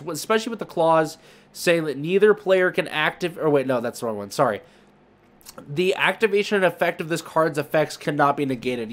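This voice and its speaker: Higher-voiced man, emphatic casual commentator. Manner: emphatic, rambling, self-correcting. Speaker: host of a trading card market video. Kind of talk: casual market commentary, unscripted.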